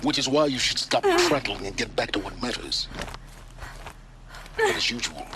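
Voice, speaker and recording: A man's voice: medium pitch, huge pitch swings, normal speed. An actor playing a stern, condescending man in a video game, haughty and sardonic as he scolds and gives orders. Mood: cold, sardonic. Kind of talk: dramatic game dialogue. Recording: clear background noise.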